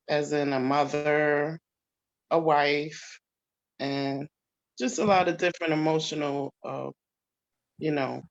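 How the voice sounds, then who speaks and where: A woman from New York, an actress, answering interview questions casually. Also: low-pitched. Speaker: stage actress being interviewed. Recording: dull, lo-fi audio.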